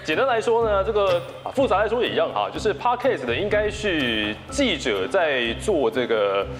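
A young man with a Taiwanese Mandarin accent, a quiz contestant chatting casually in a halting, self-mocking way. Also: high voice, sing-song, music in the background. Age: young adult. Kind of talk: casual game-show banter. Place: TV studio quiz set. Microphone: clip-on lapel mic.

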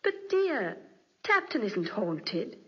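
An actress playing an affectionate, incredulous young gentlewoman, theatrical and exclamatory in a 1940s radio drama. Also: a little high, big pitch swings, takes it slowly, breathy edge, heavily acted. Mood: soothing, dismayed. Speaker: actor. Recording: dull vintage 1940s radio recording.

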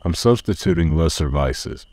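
A man voicing a watchful, world-weary middle-aged man in a comic dub, terse, measured and dryly wry. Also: a bass voice, animated, darkish tone, no room sound, full character voice. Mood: gruff, welcoming. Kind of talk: dramatic dialogue. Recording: music behind the voice.

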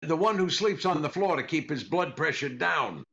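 Gravelly, exasperated old-time comedian husband. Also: male voice, a little high, expressive, moderate pace, bright, forceful tone, dull audio. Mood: puzzled. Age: older. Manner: stammering, repeats questions. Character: exasperated, dry comedian husband, a straight man. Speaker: actor in a radio comedy recreation. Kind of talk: 1940s radio comedy double act.

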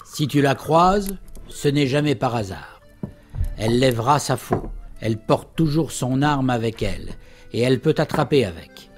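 An actor as an animated old fireside storyteller. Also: male voice, mid-range voice, moderate pace, faint music behind, natural voice. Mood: ominous storytelling. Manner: theatrical, teasing storytelling. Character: old Breton father and fireside storyteller, jovial yet ominous. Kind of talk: French audio drama acting.

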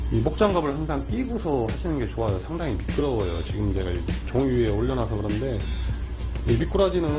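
A man hosting a fishing channel, calmly walking through how to hook bait with many repetitions and fillers. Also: medium pitch, low-quality recording. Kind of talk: casual how-to demonstration. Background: clear background music.

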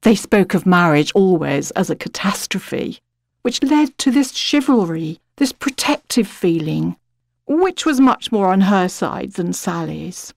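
Woman, British accent, audiobook narrator, reflective and expressive, medium pitch, dry close recording. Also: unhurried, vocal fry, no echo. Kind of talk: literary audiobook narration, dramatic reading.

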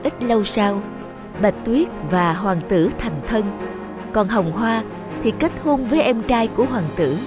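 A woman's voice: medium pitch, animated, a little slow. A woman reading scripted fairy-tale narration. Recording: music under the voice.

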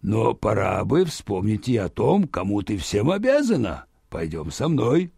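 A man's voice: lowish voice, huge pitch swings, unhurried, creaky, warm tone, husky, no echo, studio quality, voice pushed down, exaggerated voice. A man voicing a possessive, lovelorn painter with a grand, scheming manner in a storybook tale. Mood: sly, demanding.

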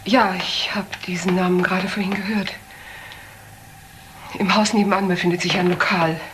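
A woman's voice: fairly low pitch, flat delivery, normal speed, fairly bright tone, close to an everyday voice. An actress playing a stern, well-to-do woman doctor in a crime radio play, speaking in a precise, grave, commanding way. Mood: grave, accusing. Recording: noticeable background noise, old broadcast audio.

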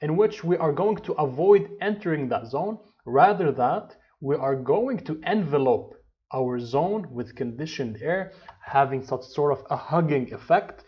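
A man's voice: fairly high pitch, lively intonation, measured pace, dull audio. A man in his thirties teaching an engineering course over slides, explaining step by step with restarts and fillers. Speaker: HVAC instructor. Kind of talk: slide lecture.

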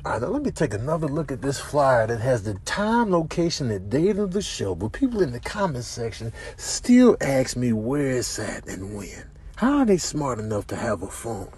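Animated, exasperated man doing comic voiceover. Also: medium pitch, normal speed, hardly any pauses. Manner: exasperated, exclamatory. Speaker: comedian narrating viral clips.

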